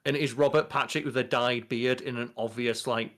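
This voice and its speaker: A young man co-hosting a podcast in casual chat, talking in an animated, profane way. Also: mid-range voice, brisk. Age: young adult. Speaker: podcast co-host. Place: bedroom, on a video call.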